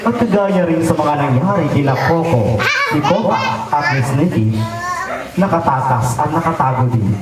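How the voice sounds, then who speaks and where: A storyteller narrating a live puppet show for children, theatrical and drawn-out, repeating phrases for suspense. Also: mid-range voice, expressive. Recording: very echoey hall, distant mic, loud music and crowd. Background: loud music and a noisy audience.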